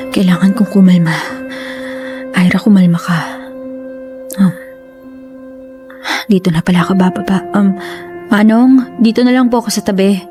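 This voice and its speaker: A woman's voice: mid-range voice, steady tone, takes it slowly, pauses often, over music, lightly acted. A woman playing an aggrieved, anxious young wife in a radio drama, earnest and emotional. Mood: nervous. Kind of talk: Tagalog radio drama acting.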